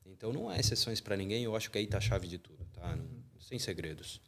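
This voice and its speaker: Low-voiced man, firm and emphatic. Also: expressive, fast, gravelly, fairly bright tone. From Argentina. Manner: firm, emphatic, searching for words. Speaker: football coaching association coordinator, guest. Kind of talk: podcast interview answers.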